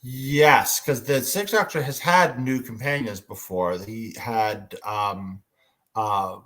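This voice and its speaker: A man's voice: medium pitch, slow, fairly bright tone. Effusive, rambling man chatting on a fan panel. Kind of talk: casual fan panel chat. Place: indoors, online panel call. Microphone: headset on a video call.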